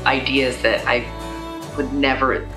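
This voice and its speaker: Woman, lower voice, upbeat, echoey distant recording with music. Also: fairly even intonation, slow. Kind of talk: documentary interview.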